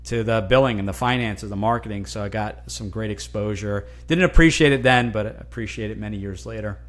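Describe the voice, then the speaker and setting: A man from New Jersey whose first language is Italian, speaking as a guest in a casual interview, animated and often restarting words. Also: fairly low pitch, fairly quick. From New Jersey, USA. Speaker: guest, franchise consultant.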